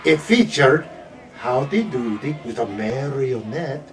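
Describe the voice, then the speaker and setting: A man's voice: mid-range voice, animated, takes it slowly. A man hosting a children's show, chatting playfully and teasingly with a small child. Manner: playful, teasing, repeats words. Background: music.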